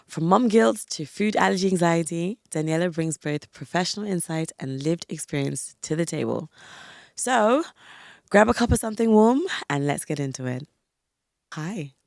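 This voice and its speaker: Croaky low-voiced woman podcast host. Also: dry recording, clean studio sound. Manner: warm encouraging listener, many yeahs. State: croaky from a cold. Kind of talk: casual interview chat.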